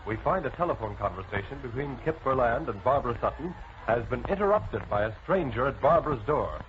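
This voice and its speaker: A man's voice: medium pitch, expressive, a little fast, natural voice. Man as old radio announcer telling the story, formal and dramatic, dull noisy recording. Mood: urgent storytelling. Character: male announcer narrating a crime serial. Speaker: narrator. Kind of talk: 1940s radio scripted narration.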